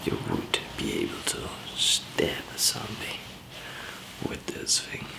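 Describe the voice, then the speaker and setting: A man doing a whispered ASMR roleplay as a calm apocalypse survivor, murmuring in a hesitant, halting way. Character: calm zombie apocalypse survivor handing out supplies.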